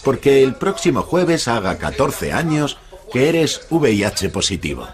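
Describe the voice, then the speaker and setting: A challenging, sceptical man in a dubbed documentary, with a Castilian Spanish accent. His intonation swings widely, and there is clear chatter of people behind him. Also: mid-range voice, sing-song, measured pace. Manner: probing, challenging. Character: sceptical man questioning others in a group. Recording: busy room chatter behind.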